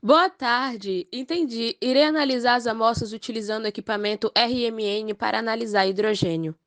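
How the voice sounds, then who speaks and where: A woman's voice: fairly high pitch, fairly quick, a bit bright, lightly acted. A woman with a Brazilian accent playing a formal, technical female forensic expert in a scripted educational cartoon.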